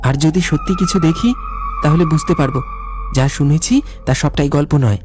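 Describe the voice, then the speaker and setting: A man voicing a curious young village boy who thinks the ghost story through calmly. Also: fairly high pitch, moderate pace, soft background music, close to an everyday voice.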